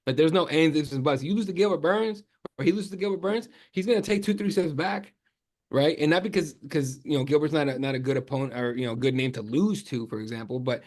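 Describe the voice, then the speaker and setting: A man speaking English as a second language, talking sports in an animated way, with lots of fillers and restarts as he thinks aloud. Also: on the high side, fast. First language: not English. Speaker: MMA journalist guest. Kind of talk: casual sports podcast chat.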